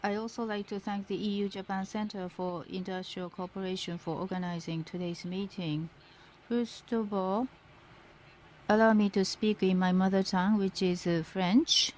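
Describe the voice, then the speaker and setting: A woman working as a simultaneous interpreter, rendering a formal speech live in halting phrases. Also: mid-range voice, darkish tone. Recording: interpreter feed with faint background noise.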